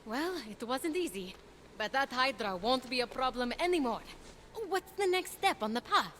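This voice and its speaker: A woman's voice: high voice, animated, normal speed, fairly bright tone, slight background noise. A woman playing an eager, earnest young hero in a video game, conversational and keen. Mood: proud.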